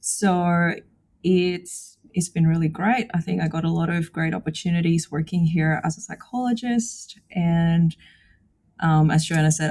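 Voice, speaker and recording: Woman in her 30s, soft mellow tone, kinda low, casual podcast guest. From Indonesia. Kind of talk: casual interview chat.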